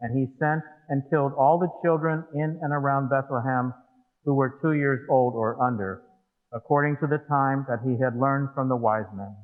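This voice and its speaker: A man's voice: medium pitch, steady tone, moderate pace. A man giving a solemn reading of scripture. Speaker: scripture reader. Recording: muffled phone-like audio, long hall reverb.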